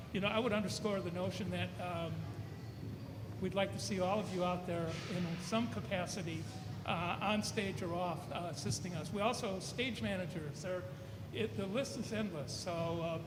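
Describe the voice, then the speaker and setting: A man with a fairly high voice gives an informal introduction to an audience as a music director. His delivery is halting and rambling. The room is echoey and reverberant, with clear noise behind him. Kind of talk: informal public announcement to an audience. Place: large indoor room with a seated audience.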